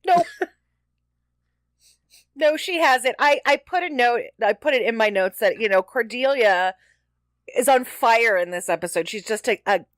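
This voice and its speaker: A woman co-hosting a casual podcast chat about television, talking with gushing, animated enthusiasm. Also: a little high, a little slow, pauses often, dry recording. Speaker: podcast co-host. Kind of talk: casual TV episode discussion chat.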